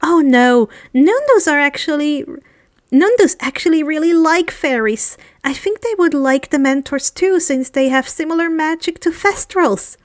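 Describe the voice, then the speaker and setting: A woman voicing a dreamy, gentle, happy young girl from a fantasy story, with a whimsical and delighted manner. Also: high voice, expressive, a little slow, pitched above the performer's own voice. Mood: cheerful explaining.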